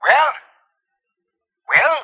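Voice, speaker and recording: A man's voice: extremely slow, slight background noise. An actor playing an eccentric, wealthy old man who is muddled, querulous and rambling, in a 1950s radio comedy. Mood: testy, muddled. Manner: querulous, rambling, abrupt repeated questions. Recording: muffled old radio recording.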